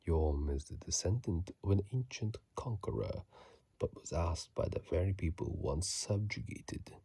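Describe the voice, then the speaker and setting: A man whispering softly and closely into a phone mic in an unhurried ASMR style, reading and chatting casually about a video game. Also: sits low, animated, slightly rough, no room sound.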